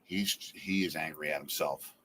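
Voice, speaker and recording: A man hosting a casual watch-along stream, calling the plays in a lively, excitable way. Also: extremely low pitch, moderate pace, slight background noise. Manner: lively, excitable, laughs often. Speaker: livestream host.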